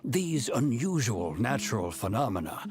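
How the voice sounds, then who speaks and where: An actor playing a polite, caring middle-aged village chief in a video game, speaking in a measured way over some noise. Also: male voice, medium pitch, big pitch swings. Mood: grave, explaining.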